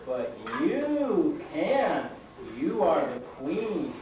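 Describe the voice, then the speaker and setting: A man's voice: fairly high pitch, very animated, very slow, full character voice. A man playing an anxious, earnest uncle in a puppet show, pleading in a dramatic, repetitive way. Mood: urgent, pleading. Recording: echoey, distant, noisy live stage recording.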